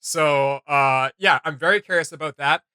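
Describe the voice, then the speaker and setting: A man talking loosely through a reaction video he co-hosts, thinking aloud with frequent fillers and easy laughter. His voice is very bright and sits a little high for a man. The sound is dry and close. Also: normal speed, clean tone, no room sound. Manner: rambling, filler-heavy, laughs often. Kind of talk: casual reaction chat.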